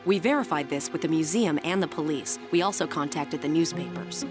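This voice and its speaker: A woman plays an investigator's assistant in an anime dub, reporting formally and precisely. The voice is on the low side. Music plays underneath. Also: brisk, lightly acted. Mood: matter-of-fact.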